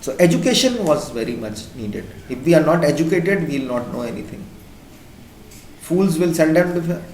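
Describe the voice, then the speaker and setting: A male teacher with an Indian English accent, a native Hindi speaker, giving a classroom lecture. He repeats his points and keeps checking in with the class using quick questions. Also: fairly high pitch, very animated, darkish tone, a little hiss or hum. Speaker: school teacher. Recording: echoey room.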